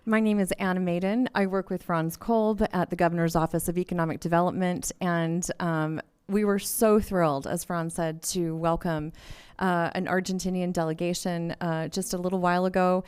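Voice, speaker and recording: A woman's voice: fairly low pitch, steady tone, normal speed, few pauses, a little mellow, smooth. A woman, a government staffer, giving a polite spoken welcome at a meeting.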